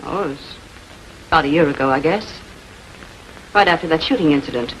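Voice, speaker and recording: An actress playing a defensive, haughty estranged wife in a 1940s filmed crime drama, fiercely protective and quick to take offence. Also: female voice, sits low, natural voice. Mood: guarded. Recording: noisy old 1940s film soundtrack.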